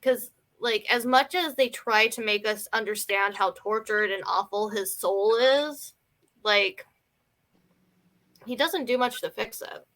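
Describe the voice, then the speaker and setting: A woman's voice: medium pitch, unhurried, a bit bright. A woman talking casually as a film review panelist, very colloquial and peppered with "like". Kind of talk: casual group film discussion.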